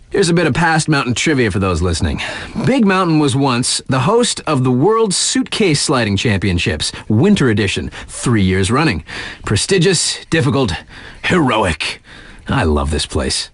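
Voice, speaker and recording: A young, easygoing snowboard-town radio DJ for a video game, a man with a North American accent. His delivery is casual and wry, with drawn-out emphasis and lively swings in pitch. The voice sits in a medium male register and sounds close and dry. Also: dry recording. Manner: casual, tongue-in-cheek, drawling emphasis. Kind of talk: scripted radio DJ patter.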